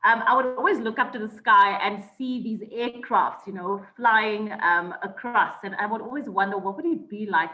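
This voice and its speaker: A woman's voice: medium pitch, normal speed, hardly any pauses. A South African woman telling her life story in an interview, thoughtful, with many ums and restarts. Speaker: guest. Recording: echoey, dull lo-fi sound.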